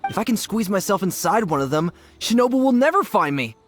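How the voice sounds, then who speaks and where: An actor playing a cowardly, scatterbrained young gang member in a video game, talking in a rambling, slangy way. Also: male voice, high voice, very animated, fairly quick, faint music behind. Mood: nervous relief. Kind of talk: animated video game voice acting.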